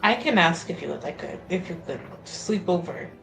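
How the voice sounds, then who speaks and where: A woman's voice: lowish voice, lively intonation, normal speed, creaky, over music. A woman playing a tabletop roleplaying game, speaking casually and tentatively as she improvises. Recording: roomy echo.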